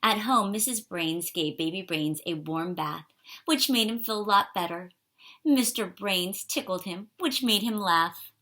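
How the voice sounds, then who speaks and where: A woman reading a children's picture book aloud in an expressive, animated way for young listeners. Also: mid-range voice, measured pace. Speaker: storybook reader.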